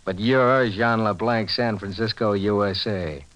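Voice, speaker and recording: An actor playing a relentless hard-boiled bounty hunter, mocking and menacing in 1940s radio drama style. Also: male voice, on the low side, a little slow, fairly bright tone. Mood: cool, menacing.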